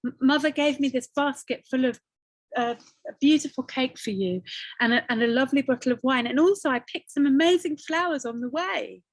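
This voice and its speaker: Lady doing an excited little girl, high voice, wide-eyed storybook kid. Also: a little slow, no echo, exaggerated voice, poor audio. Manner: bright childlike, wide-eyed tone.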